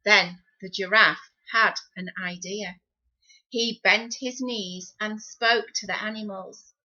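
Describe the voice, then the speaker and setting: Woman reading kids story, really slow n bright, dramatic phrasing, bit dull lo-fi recording. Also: mid-range voice, steady tone. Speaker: storybook reader. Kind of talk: expressive children's story narration. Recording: slightly dull, lo-fi sound.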